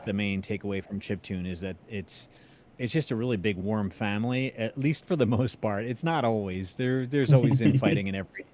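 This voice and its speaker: A man's voice: lowish voice, brisk, a little mellow, a little hiss or hum. A man hosting a casual livestream interview, tentative and informal, often rephrasing as he goes. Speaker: interviewer, stream host.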